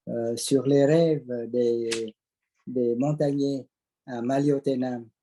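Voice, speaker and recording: An older man, giving a personal account and searching haltingly for his words. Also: medium pitch, mellow. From Vietnam. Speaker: audience participant. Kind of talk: personal account at an online conference.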